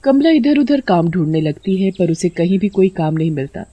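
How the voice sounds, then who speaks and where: A woman's voice: lowish voice, big pitch swings, normal speed, husky, no room sound, highs cut off. A woman reading a scripted story as narrator.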